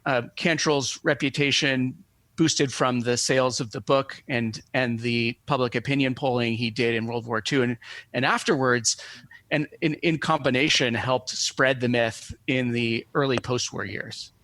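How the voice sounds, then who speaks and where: A man's voice: medium pitch, moderate pace, a bit bright, no room sound. A male professor speaking as an interview guest, explaining in scholarly, digressive phrases with some hesitation.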